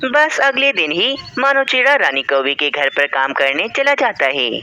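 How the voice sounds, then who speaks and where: A woman's voice: medium pitch, very animated, normal speed, pushed and bright, a little hiss or hum. A woman narrating a scripted children's cartoon story as its storyteller.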